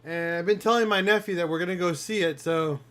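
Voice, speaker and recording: A man in his thirties, hosting a casual question-and-answer chat, talking in a relaxed, rambling way and laughing often. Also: high voice, fast. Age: 30s. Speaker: garage channel host.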